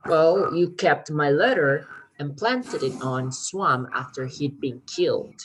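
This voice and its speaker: An eager crime writer read by a woman in a readers theater play, with a low voice. The delivery is earnest and persuasive, a little halting, as if laying out a careful scheme to rescue a friend. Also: slight background noise, low-quality recording. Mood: insistent. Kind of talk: amateur readers theater performance.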